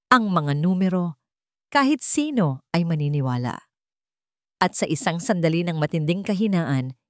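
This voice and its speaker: A woman's voice: sits low, darkish tone, dry recording, studio quality. A woman reading a scripted dramatic story as its narrator.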